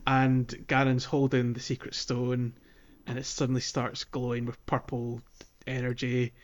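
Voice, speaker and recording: A man's voice: mid-range voice, completely flat delivery, measured pace, lo-fi sound. A man hosting a casual gaming podcast, talking in an enthusiastic, geeky way. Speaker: podcast host. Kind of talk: casual game discussion podcast.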